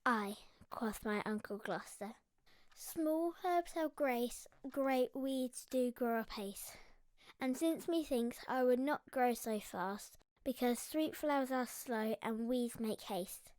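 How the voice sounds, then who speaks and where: A woman's voice: a little high, slow pace, darkish tone, no echo. A woman playing a precocious, cheeky young boy prince, telling tales in careful verse in a Shakespearean audio drama.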